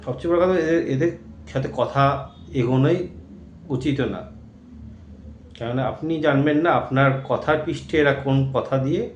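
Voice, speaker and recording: A man's voice: medium pitch, moderate pace, long pauses, lo-fi sound. A man with an Indian Bengali accent giving an informal, earnest public-awareness talk in a cautioning manner. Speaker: video creator warning about fraud. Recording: echoey room with faint noise.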